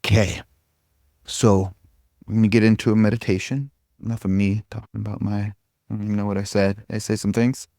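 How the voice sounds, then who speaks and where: A man's voice: fairly low pitch, flat delivery, a little fast, warm tone, no echo, clean studio sound. A man giving an informal spiritual talk to a group as a meditation teacher, rambling and irreverent, with casual, self-deprecating humor. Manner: irreverent, casual, frequent swearing.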